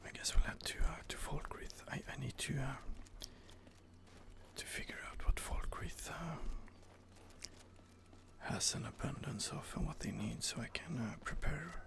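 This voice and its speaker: A man softly whispering relaxed gameplay commentary, hesitant and full of fillers. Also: medium pitch, unhurried, gravelly. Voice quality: soft whisper. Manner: hesitant, many fillers and restarts. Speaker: gameplay host.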